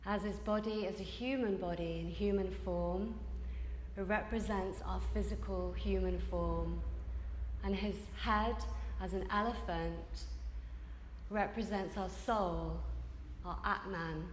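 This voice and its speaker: A woman leading a guided meditation as a yoga teacher, telling stories in gentle, unhurried short phrases, recorded in a reverberant studio. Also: medium pitch, steady tone, a little hiss or hum. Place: indoor yoga space during a group evening session.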